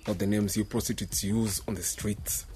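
An actor playing a stern officer who questions someone with curt scorn. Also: male voice, fairly low pitch, little pitch movement, moderate pace, warm tone, slight background noise, lightly acted. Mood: scornful. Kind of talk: radio drama acting.

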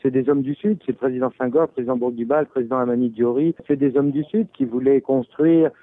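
A man answering on a panel over a tinny, phone-like line that sounds dry and close. His delivery is measured, and he grows emphatic when he pushes back on a question. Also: mid-range voice, steady tone, dry recording. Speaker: spokesperson of an international organization.

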